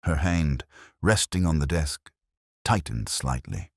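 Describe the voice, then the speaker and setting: Very deep-voiced man narrating a detective story. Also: expressive, takes it slowly, dry recording, studio quality. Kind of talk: first-person scripted detective drama narration.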